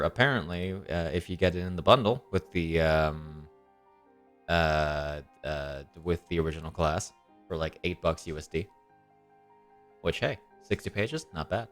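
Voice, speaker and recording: A man's voice: deep voice, unhurried. A young man with a slightly nasal voice, recovering from illness, hosting and recapping a tabletop game as dungeon master with many ums and uhs. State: recovering from illness and tooth surgery. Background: soft background music.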